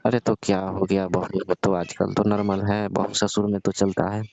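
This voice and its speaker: A man acts out a lecherous older father-in-law in a staged comic phone call. The voice is low and dark, coaxing and insistent, and the audio is dull and lo-fi. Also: flat delivery, moderate pace, creaky, dry recording. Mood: coaxing, pleading.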